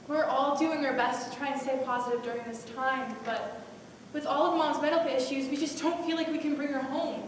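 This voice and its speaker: A woman's voice: high voice, fairly even intonation, a little fast, lightly acted. A woman on stage playing a loving, worried daughter. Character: loving, worried daughter of a care-home resident. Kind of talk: stage monologue in a one-act play. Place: on stage in a large hall. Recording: echoey hall from far off, faint noise.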